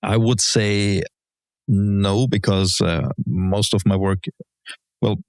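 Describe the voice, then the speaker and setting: Deep gravelly man, halting. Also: steady tone, darkish tone, no echo. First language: German. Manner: hesitant, many fillers and restarts, measured explanations. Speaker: guest, legal professional. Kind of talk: relaxed conversational interview.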